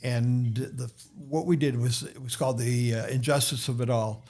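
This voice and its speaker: A man's voice: mid-range voice, steady tone, normal speed, a little mellow. A man with a Boston accent, a podcast guest and author, talking in a casual chat with many restarts and fillers.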